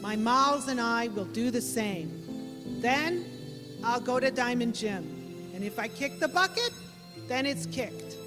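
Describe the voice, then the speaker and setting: A woman's voice: a little high, animated, measured pace. A woman playing an earnest, brave young gangster's moll in an old-time radio play. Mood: resolute. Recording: echoey hall with music behind.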